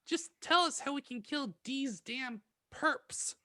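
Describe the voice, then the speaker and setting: Irritable teenage goth girl, played by a woman, parody fan fiction; extremely slow, deliberate delivery, dry recording. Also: sits high, pushed and bright, no room sound, exaggerated voice. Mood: irritated. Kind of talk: comic dramatic reading of fan fiction.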